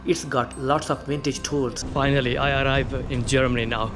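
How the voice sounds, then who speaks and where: A man from Pakistan vlogging a factory tour, talking casually and excitedly with hesitant restarts. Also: mid-range voice, normal speed, music in the background. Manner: excited, hesitant, repeats "all right". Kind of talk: casual on-camera chat. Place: loud factory floor. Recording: loud machine noise behind.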